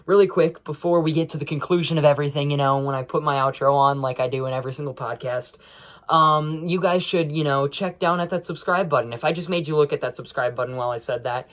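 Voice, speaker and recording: A man's voice: on the high side, fast, fairly bright tone. A young man hosting a podcast in a casual, unscripted monologue, rambling along and often adding "you know". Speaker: podcast host.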